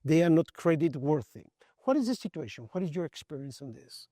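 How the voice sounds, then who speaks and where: A man asks questions as an interviewer. His voice sits a little high but stays very mellow and soft-edged, recorded quite dry. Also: very animated, a little fast, no room sound. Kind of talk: on-camera conference interview.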